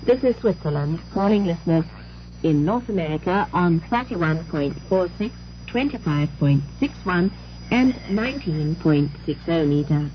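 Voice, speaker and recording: A woman's voice: fairly low pitch, lively intonation, normal speed, highs cut off, a little hiss or hum. A woman presenting on 1960s shortwave radio in the announcing style of an international broadcast. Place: radio studio, heard over shortwave.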